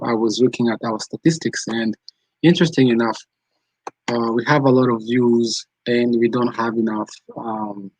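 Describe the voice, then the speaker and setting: A man's voice: medium pitch, no room sound, rough recording. A man from Rwanda, hosting a live stream, chatting casually and answering viewers' comments as they come in. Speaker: channel host.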